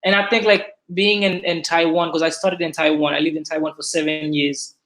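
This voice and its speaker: A man's voice: a little high, level tone, fairly quick, fairly bright tone. A man in his thirties from Haiti with a Haitian accent, chatting casually as a guest and often repeating words as he starts a thought. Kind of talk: casual video-call interview.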